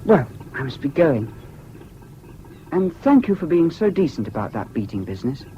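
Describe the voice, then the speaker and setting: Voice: mid-range voice, big pitch swings, normal speed, dark tone, close to an everyday voice. An actor playing an earnest, politely self-deprecating, eccentric English schoolboy with a public-school accent in a radio drama. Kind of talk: 1970s BBC radio drama acting. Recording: muffled, hissy old radio broadcast.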